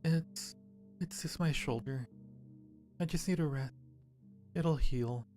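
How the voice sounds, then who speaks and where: A man's voice: on the high side, slow pace, a little mellow, voice pushed up. A man voicing a self-loathing female creature from a game, muttering in anguished repetition over music. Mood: weak, evasive. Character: self-loathing, hungry female vampire mole-like digger. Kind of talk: reading game dialogue aloud.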